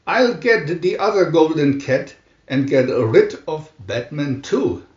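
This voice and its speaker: A man's voice: fairly high pitch, lively intonation, slow. A man voicing a scheming, taunting cat-themed villainess in a storybook reading. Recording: dull lo-fi, roomy echo.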